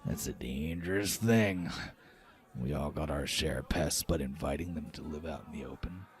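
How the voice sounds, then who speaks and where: A man's voice: very deep voice, normal speed. A man voicing a mocking giant warrior in a tabletop game, with a sneering delivery that drips with scorn. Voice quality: dripping with mockery.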